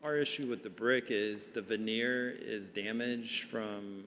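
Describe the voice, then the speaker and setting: A man's voice: fairly low pitch, steady tone, unhurried, fairly bright tone. A man speaking as an applicant at a public hearing, giving a plain-spoken, informal explanation to a board. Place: public commission meeting room. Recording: echoing meeting hall with background noise.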